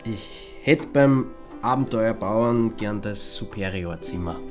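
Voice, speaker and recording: An ordinary Austrian who plays at being a detective in a radio play. He is stubborn and drily sardonic in a colloquial way, with clear music underneath. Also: on the low side, takes it slowly. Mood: businesslike. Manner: dry, colloquial.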